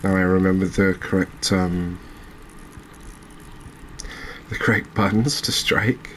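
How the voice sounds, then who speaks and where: A man hosting a gaming channel with soft-spoken ASMR commentary, talking in a gentle, unhurried, halting way. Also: deep voice, fairly even intonation, a little hiss or hum.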